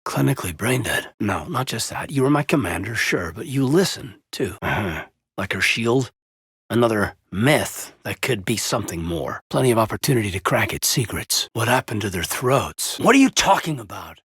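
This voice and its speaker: A loyal, wounded soldier in a cinematic game, speaking in a husky mid-range voice. His delivery is sincere and measured, with hesitant pauses and expressive swings in pitch. The sound is close and dry. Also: dry recording, heavily acted. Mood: tense, commanding. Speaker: video game character, military officer. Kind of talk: cinematic video game dialogue acting.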